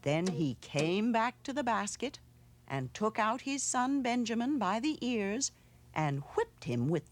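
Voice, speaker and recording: A woman narrating a children's storybook aloud in a measured, dramatic way, with hushed pauses. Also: mid-range voice. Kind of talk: expressive storybook read-aloud.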